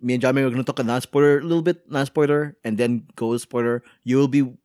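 A man's voice: medium pitch, fast talker, no room sound, clean studio sound. A man hosting a podcast in casual chat, joking and laughing often as he talks. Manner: jokey, animated, laughs often. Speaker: podcast host.

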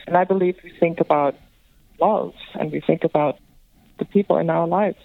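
A woman from Greece, a filmmaker guest speaking thoughtfully and softly about her work. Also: on the low side, steady tone, normal speed, vocal fry, no room sound. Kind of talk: reflective interview talk. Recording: tinny phone line, dry.

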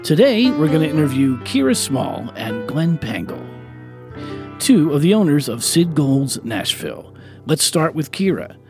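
Man, podcast host, slightly high voice, brisk questions, soft music behind. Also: very animated. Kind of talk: interviewing guests.

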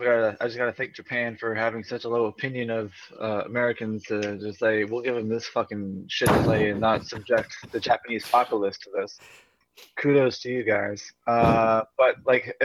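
A man's voice: lowish voice, fairly bright tone, no echo, muffled recording. A man co-hosting a casual gaming podcast, sarcastic and profane. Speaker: podcast co-host.

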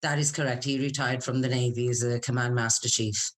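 A middle-aged woman with a low-pitched voice. She talks as an interview guest, casual and candid. Her delivery is chatty and conversational, suited to personal storytelling. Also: fairly even intonation, a little fast. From Scotland. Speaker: podcast guest, military spouse and business owner.

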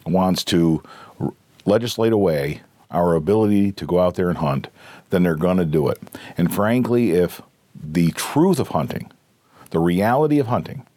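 Hoarse low-voiced man hosting a podcast. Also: a little mellow, dry recording. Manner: earnest, emphatic, repeats and rephrases himself. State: hoarse, recovering from a cold. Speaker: podcast host. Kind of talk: opinion podcast monologue.

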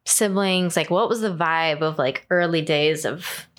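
A woman's voice: low-pitched, unhurried, a bit bright. Curious woman about to turn forty. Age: 30s. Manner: curious, asks follow-up questions. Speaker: podcast host. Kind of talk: casual interview.

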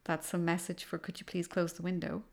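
A woman who teaches languages, interviewing a colleague in a measured, reflective way. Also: lowish voice, monotone, gravelly, darkish tone. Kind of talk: casual conversation.

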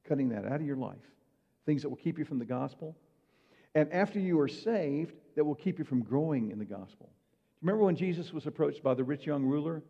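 A man's voice: fairly high pitch, animated, brisk, creaky. Preaching man in a muffled echoing hall. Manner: conversational preaching with rhetorical questions. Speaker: pastor. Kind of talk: sermon.

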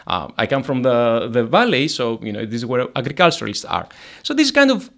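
A man talks through his research at a mid pitch. He hesitates often, circling back with fillers and restarts as he works out each point. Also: sing-song, fairly quick, gravelly, fairly bright tone. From Greece. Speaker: economics researcher, guest. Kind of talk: academic interview.

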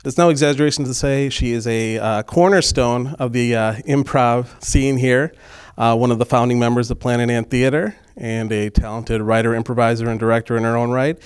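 Guy hosting a podcast, relaxed and jokey, lots of uhs, clean smooth voice. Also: medium pitch, brisk. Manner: easygoing, joking, with frequent fillers. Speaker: podcast host. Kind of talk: casual podcast interview.

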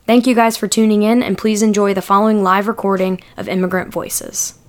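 Woman, podcast host, calm scripted read, medium pitch, soft music behind. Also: fairly quick.

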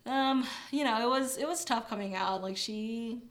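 A woman's voice: on the high side, moderate pace. Chatty young woman, lots of fillers. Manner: chatty, many fillers, laughs when uncomfortable. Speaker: guest. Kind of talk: casual interview chat.

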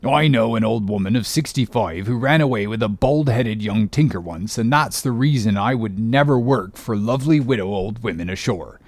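A man's voice: on the low side, lively intonation, moderate pace, hardly any pauses, a little mellow, no echo. A man voicing a fussy, grumbling older ship's carpenter who mutters complaints to himself in an audiobook story.

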